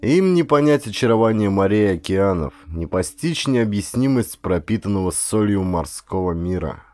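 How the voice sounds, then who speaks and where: A man narrating an adventure audiobook as a dramatic, expressive, theatrical storyteller. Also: fairly low pitch, moderate pace, dry recording.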